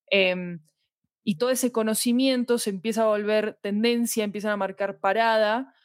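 A woman with a Latin American Spanish accent talks through her ideas in a reflective interview. Her pitch is average, and the recording is dry and close. She builds long, considered thoughts and checks in with short tag questions. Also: no echo. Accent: Latin American Spanish with voseo. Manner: thoughtful, discursive, seeks agreement with tag questions. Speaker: podcast guest, fiction podcast creator.

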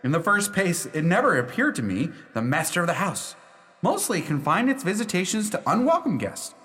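A man's voice: high voice, sing-song, normal speed, fairly bright tone. An actor playing a proud young lawyer who tells his own ghost tale emphatically and theatrically in a radio play. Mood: wry. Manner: emphatic, storytelling. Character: proud half-Scottish, half-Yankee young male lawyer recounting his haunting. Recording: reverberant hall sound.

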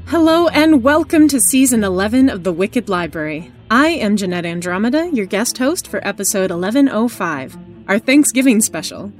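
A woman giving a scripted podcast introduction as guest host, with expressive, emphatic phrasing. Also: medium pitch, moderate pace, soft background music.

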